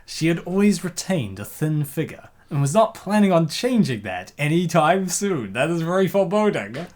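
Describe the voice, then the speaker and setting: A casual comic dub narrator of mid pitch with very animated intonation. The manner is jokey, ironic and teasing, slipping between reading and chatting. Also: normal speed.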